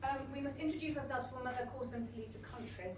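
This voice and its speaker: An actress playing a poised, forthright young Regency gentlewoman with an English accent in a staged reading. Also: high-pitched, rapid-fire, close to an everyday voice. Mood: polite. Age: young adult. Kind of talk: staged reading of a comic play. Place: small theatre stage. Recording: roomy theatre, distant mic, faint noise.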